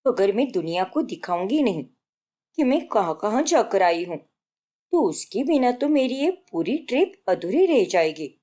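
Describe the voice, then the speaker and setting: A woman's voice: medium pitch, very animated, a little slow, mellow. A woman voicing a showy, boastful young newlywed daughter-in-law in a story, insistent and bragging, dropping in English words. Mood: indignant, boastful.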